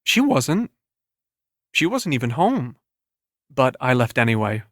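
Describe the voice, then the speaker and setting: A man's voice: mid-range voice, very animated, a little slow, dry recording, studio quality. A man reading a scripted first-person literary story as an audiobook narrator.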